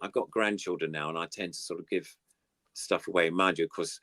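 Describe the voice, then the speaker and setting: Older male, deep voice, dry sound, casual reminiscing puppeteer guest, enthusiastic and rambling. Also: fairly even intonation, fairly quick, a bit bright, dry recording. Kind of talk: casual chat, reminiscing about old TV puppetry.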